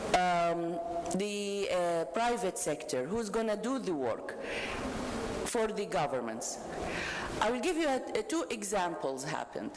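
A middle-aged woman with an Iraqi accent giving an animated, emphatic keynote speech from a podium microphone in a large conference hall. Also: medium pitch. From Iraq. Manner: animated, gesturing, emphatic with rhetorical questions. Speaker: keynote speaker. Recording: echoey hall with ambient noise.

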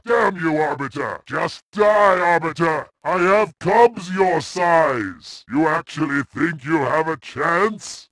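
Gravelly alien brute warrior, slow heavy taunts, dry studio sound. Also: male voice, fairly high pitch, dry recording, exaggerated voice. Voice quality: gravelly, growling menace. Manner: taunting barks and shouted threats. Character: savage male alien brute warrior, boastful hunter. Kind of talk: video game combat voice lines.

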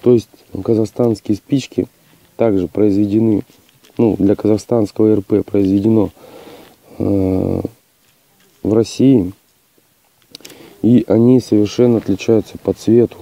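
Hoarse man with a cold, outdoors. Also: lowish voice, fairly even intonation, measured pace, long pauses, mellow, no echo. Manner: plain, matter-of-fact with hesitant "eh" fillers. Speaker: outdoor channel host. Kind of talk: casual outdoor gear test. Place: outdoors on a rocky hillside.

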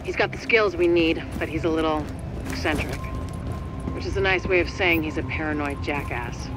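A woman's voice: sits low, normal speed, over music, close to an everyday voice. A woman playing a composed, dryly wry commander who gives businesslike mission briefings in a video game. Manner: businesslike, dry.

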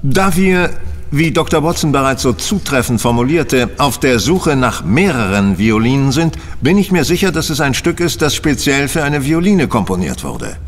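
A self-assured detective in an audio drama. He reasons in precise, courteous sentences at an average male pitch, ringing through a hall. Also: sing-song. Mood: analytical confidence. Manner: precise, formal, deliberate phrasing. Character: confident, analytical gentleman detective, courteous and commanding. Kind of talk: German audio drama acting. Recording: long hall reverb.

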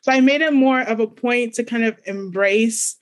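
A woman's voice: a little high, normal speed. Young woman from Nigeria, light Nigerian accent, panelist on a video call, hesitant and searching for words. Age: young adult. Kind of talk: personal story on a panel.

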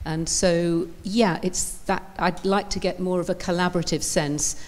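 A woman's voice: on the low side, steady tone, moderate pace. A woman in her fifties on a conference panel, speaking thoughtfully into a handheld mic. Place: conference stage in a large hall.

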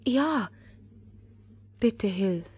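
A woman's voice: mid-range voice, animated, drawn-out words, a little mellow, breathy edge, heavily acted. A woman playing a brave, earnest little girl in a fairy-tale audio drama. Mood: pleading, determined. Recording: long reverb, faint background noise.

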